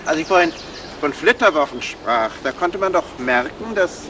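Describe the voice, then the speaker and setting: An actor playing a shrewd, courteous gentleman detective in a 1970s radio crime drama, speaking deliberately and probingly. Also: on the high side, lively intonation, lightly acted. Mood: intrigued. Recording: noisy vintage radio play recording.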